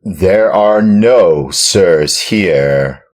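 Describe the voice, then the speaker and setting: A blustering, bearded puppet-theater director, put on by a man reading aloud barks his orders in a hoarse, booming voice. The voice sits low and moves at a slow, heavy pace. Every command comes out loud and bossy, as a storybook tyrant should. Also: expressive, exaggerated voice.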